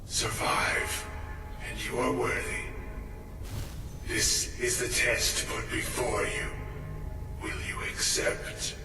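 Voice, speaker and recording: A man voicing a ghostly, disembodied augur in a fantasy game, grave, measured and cryptic, with ethereal reverb. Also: mid-range voice, little pitch movement. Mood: solemn, ominous. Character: solemn, ghostly disembodied augur of immense magical power. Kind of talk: fantasy video game acting. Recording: cavernous echo, distant, music behind.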